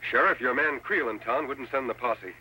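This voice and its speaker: An American actor playing a steady, commanding masked cowboy hero in an old-time radio western. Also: male voice, medium pitch, normal speed, a little hiss or hum, low-quality recording. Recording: old radio broadcast with music behind.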